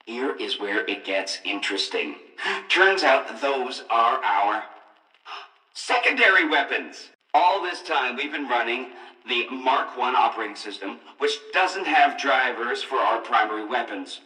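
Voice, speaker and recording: A roomy, slightly distant voice of a gleeful combat robot, showing off like a salesman. It is bright and highly animated, full of exuberant boasting for a video game demonstration. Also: a little slow, faint music behind. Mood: showy, proud. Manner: salesman-like patter with exuberant exclamations. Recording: roomy echo, distant from the mic.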